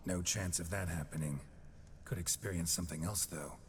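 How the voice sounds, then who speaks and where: A man voicing a deep, clipped monster hunter. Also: normal speed, lightly acted. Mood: dry. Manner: dry, clipped and understated. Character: terse gruff male monster hunter. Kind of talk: video game cutscene acting. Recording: game audio with noisy ambience.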